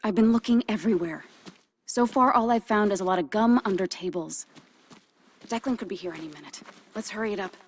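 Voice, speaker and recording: A woman's voice: mid-range voice, a little fast, a little hiss or hum, close to an everyday voice. A woman acting as a determined, anxious, no-nonsense ally with an American accent and an earnest, urgent manner in a video game. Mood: worried, impatient.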